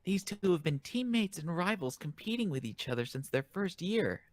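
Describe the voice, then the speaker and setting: A man's voice: sits high, sing-song, moderate pace, a little hiss or hum. A man casually reading manga lines aloud and reacting as a co-reader in an amateur dub.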